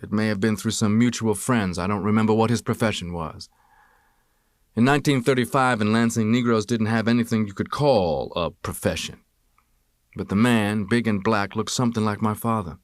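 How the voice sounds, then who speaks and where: A man with a fairly low voice narrates a memoir in the first person, reading from a script as for an audiobook. The recording is dry and close, with very little room sound. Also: normal speed, no room sound. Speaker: audiobook reader.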